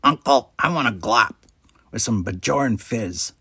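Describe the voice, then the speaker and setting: A man's voice: mid-range voice, animated, a little slow, pushed and bright, slightly rough, no echo, clean studio sound, exaggerated voice. A man voicing a young, scheming, grumbling alien boy in an audiobook reading. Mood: disgusted, demanding. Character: young male Ferengi alien, grumbling, scheming schoolboy friend. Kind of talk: acted dialogue in audiobook reading.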